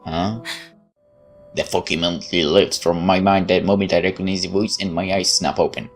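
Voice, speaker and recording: Low-pitched man doing a game playthrough. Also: steady tone, brisk, faint music behind. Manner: off-the-cuff remarks between read passages. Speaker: let's play host. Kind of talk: reading visual novel aloud with casual commentary.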